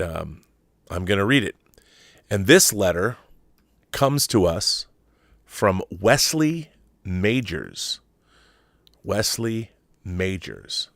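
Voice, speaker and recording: A man's voice: deep voice, gravelly, fairly bright tone, no room sound. A man hosting a live stream in a casual, chatty style, effusive and full of enthusiastic exclamations. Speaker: host of a live stream show. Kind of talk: casual unboxing chat and letter reading.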